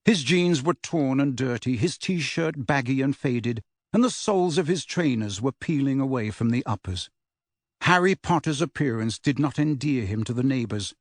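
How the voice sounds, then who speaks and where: A man's voice: medium pitch, no echo, studio quality. A man reading a novel aloud as scripted audiobook narration.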